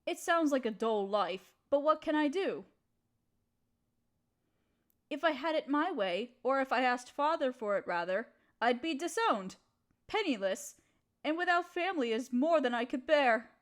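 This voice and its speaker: A woman playing a gentle, proper young noblewoman who speaks politely and coyly to her servant in an intimate roleplay audio drama. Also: high-pitched, lively intonation, unhurried. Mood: wistful. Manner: polite, formal, period phrasing, coyly teasing.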